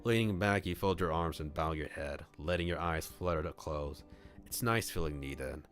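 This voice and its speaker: A man with a low voice streams a visual novel read-along, with music playing clearly behind him. He moves between storybook-style narration and casual chat, often thinking out loud. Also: moderate pace. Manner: thinks aloud in casual asides. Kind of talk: casual livestream chat mixed with scripted narration. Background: clear background music.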